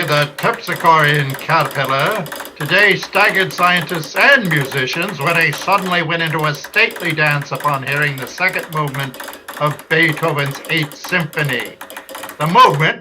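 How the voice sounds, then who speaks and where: A woman's voice: lowish voice, lightly acted. A woman playing a formal wire-service reporter reading a declamatory old time radio news bulletin. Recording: clattering typewriter noise over voice. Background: typewriter clicks.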